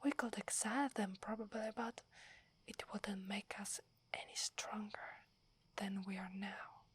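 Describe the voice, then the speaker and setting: A woman with an Italian accent playing a submissive, earnest female werewolf in a soft, breathy, hesitant ASMR whisper. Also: medium pitch, steady tone. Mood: cautious, measured. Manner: hesitant, respectful, measured.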